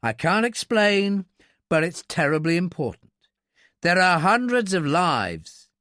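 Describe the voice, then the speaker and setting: A man's voice: fairly high pitch, expressive, moderate pace, fairly bright tone, no room sound, voice pushed up. A man voicing a weary, steely army captain in an audiobook, with a curt, dry, firm delivery. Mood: weary pleading.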